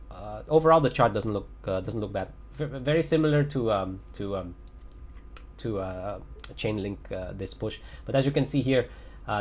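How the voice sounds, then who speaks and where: A man's voice: lowish voice, a little hiss or hum. A man giving casual livestream commentary on trading charts, hesitant and full of fillers, often repeating himself. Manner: hesitant, many fillers, repeats phrases, chuckles. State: voice getting raspy after a long stream. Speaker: crypto chart analyst on a livestream.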